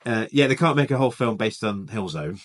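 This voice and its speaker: Low-voiced rambling male podcast co-host. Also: fairly quick. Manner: relaxed, rambling, trails off with fillers. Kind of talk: casual chat.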